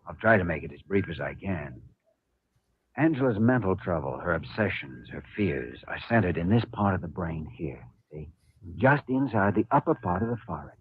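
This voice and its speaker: On a narrow, muffled old radio recording, an earnest male doctor with a deep, slightly rough voice. He is firm, clinical and persuasive in the 1950s radio manner. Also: sing-song, moderate pace, heavily acted. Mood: grave, firm. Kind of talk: 1950s radio drama acting.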